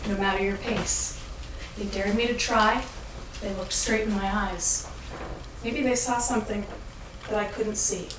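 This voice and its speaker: A woman delivering a rhyming spoken-word monologue in a dramatic, deliberate storytelling manner. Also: mid-range voice. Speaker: monologue performer. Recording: echoey, noisy room from far off.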